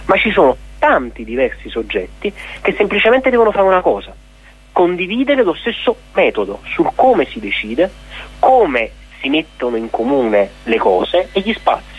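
A man's voice: a little high, very animated, moderate pace, husky. A man explaining things articulately and argumentatively in a radio interview. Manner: articulate, argumentative, rhetorical questions. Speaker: interviewee, activist of an occupied cultural centre. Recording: dull lo-fi radio sound.

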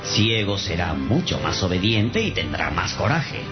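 A man's voice: low voice, big pitch swings, measured pace. A man playing an imperious, calculating king in a radio drama, pompous and decisive in his delivery. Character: imperious, calculating king pronouncing a cruel sentence. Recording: muffled, loud music behind.

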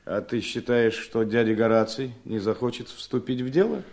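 An actor playing a feckless, sly young son in a radio drama, eager to please and hesitant. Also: male voice, mid-range voice, moderate pace, a little mellow, lightly acted. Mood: ingratiating. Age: young adult. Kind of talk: theatrical radio drama acting.

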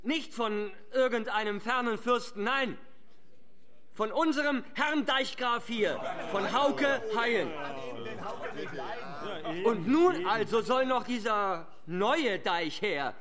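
Radio drama rabble-rouser, piercingly high forceful voice, deliberate scornful declamation. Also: male voice, lively intonation, exaggerated voice. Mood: mocking. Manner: declamatory, sarcastic. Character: scornful village rabble-rouser opposing the dike master.

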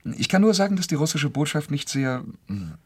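An actor playing a suave, secretive young Russian count in a German radio play, florid and condescendingly jovial. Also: male voice, a little high, sing-song, a little fast, darkish tone, slightly rough. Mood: evasive, amused.

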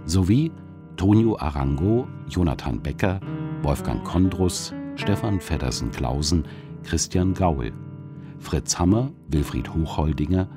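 A man announcing a radio play and its credits in a scripted, solemn, deliberate way. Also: low-pitched, lively intonation. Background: plucked guitar music.

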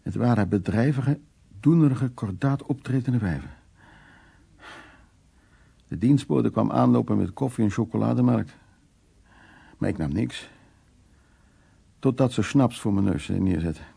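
Guarded man in a radio drama, husky and mellow, kinda halting, intimate, bit of teasing. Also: on the low side, heavily acted. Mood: tense recollection. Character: teasing, guarded man haunted by wartime flight. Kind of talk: naturalistic radio drama dialogue and recollection. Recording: radio play with faint music.

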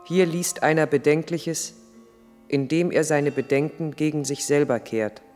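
An actor playing an earnest chronicler, narrating in a deliberate, literary 1980s German radio play style. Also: male voice, fairly high pitch, steady tone, darkish tone, natural voice. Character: earnest male chronicler of a man's troubled life. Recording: long reverb, music in the mix.

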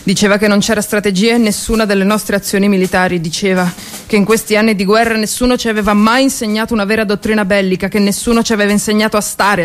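A woman performing a theatrical war monologue as a stage actress, intense and emphatic, with dramatic pauses. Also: a little high.

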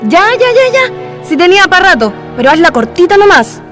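A woman's voice: very high voice, very animated, a little fast, music in the background. An actress playing an impatient, reluctant young woman in a radio drama, with a Chilean accent. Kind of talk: Spanish-language radio drama acting.

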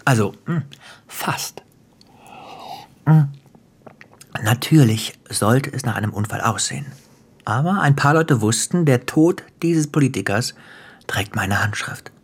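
An actor playing a menacing, sardonic gangster boss in a radio drama, taunting and playful, slipping into breathy whispers. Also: male voice, mid-range voice, lively intonation, a little slow, long pauses. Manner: taunting, theatrical, dropping into whispers. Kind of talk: German radio drama acting.

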